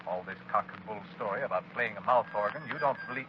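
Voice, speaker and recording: An actor playing a pompous, stern state governor in 1930s radio acting style. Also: male voice, on the low side, quick pace, natural voice. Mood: scornful. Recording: muffled, hissy 1930s radio broadcast recording.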